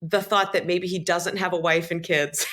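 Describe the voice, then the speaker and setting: Casting: woman, lively comic storyteller, bright tone. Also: lowish voice, moderate pace. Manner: animated, acts out quotes. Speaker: podcast co-host. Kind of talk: comedic storytelling.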